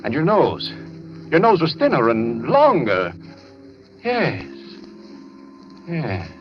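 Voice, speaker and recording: An actor playing a deranged, obsessive doctor in theatrical old radio style, muttering, ranting and repeating himself. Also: male voice, fairly high pitch, huge pitch swings, slow pace, exaggerated voice. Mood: muttering, confused. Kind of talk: 1940s radio acting. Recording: dull vintage radio recording, faint music.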